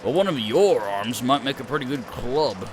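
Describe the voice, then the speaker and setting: A man's voice: medium pitch, animated, normal speed, noisy background, pitched below the performer's own voice, natural voice. Howie, a man doing a folksy cheerful hobo. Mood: easygoing. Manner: drawling, easygoing country talk.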